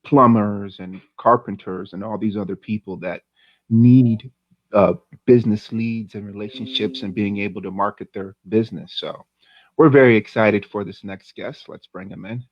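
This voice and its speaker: A man's voice: fairly low pitch, fairly even intonation, normal speed, no room sound. A man co-hosting a podcast interview, chatty and easygoing, asking long rambling questions. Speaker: podcast co-host. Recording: dull lo-fi dry sound.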